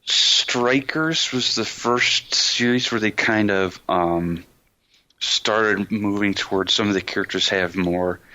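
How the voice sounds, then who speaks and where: A man's voice: fairly low pitch, takes it slowly, bright, forceful tone, no room sound, dull audio. A man talking casually and emphatically as a podcast host, explaining things with colloquial enthusiasm. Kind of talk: casual anime discussion.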